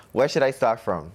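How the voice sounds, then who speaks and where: A man's voice: mid-range voice, fairly bright tone, breathy edge. A young man from the Bahamas, a musician answering interview questions in a cocky, self-promoting way.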